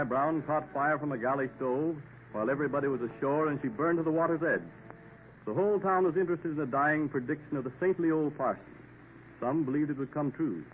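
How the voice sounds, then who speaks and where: Measured male radio narrator. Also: fairly high pitch. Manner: measured storytelling. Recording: tinny old radio broadcast with hiss.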